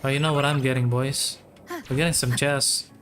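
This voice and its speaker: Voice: medium pitch, fairly even intonation, moderate pace, a bit bright, a little hiss or hum. A tired gamer giving casual, laid-back gameplay commentary in muttered asides. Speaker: gamer, streamer. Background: game music.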